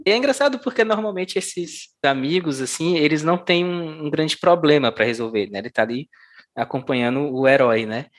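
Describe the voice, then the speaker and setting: A woman interviewing an author, relaxed and amused, chatting casually. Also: low voice, fairly quick.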